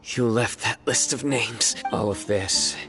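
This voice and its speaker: An actor playing a stern, principled warrior of justice in a video game, terse and grave in manner. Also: male voice, mid-range voice, takes it slowly, music in the background, close to an everyday voice. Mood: grave determination.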